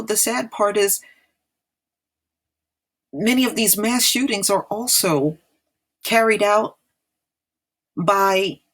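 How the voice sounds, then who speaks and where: An American woman giving informal opinion commentary in a blunt, disapproving, emphatic manner, repeating phrases for effect. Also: medium pitch. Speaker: online commentator.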